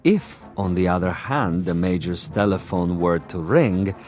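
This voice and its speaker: An actor playing a smug, cunning commissar and assassin in a vintage television drama, with a pompous, savoring delivery. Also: male voice, low-pitched, animated, measured pace, a little mellow, soft background music. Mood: relishing. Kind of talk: 1960s television drama acting.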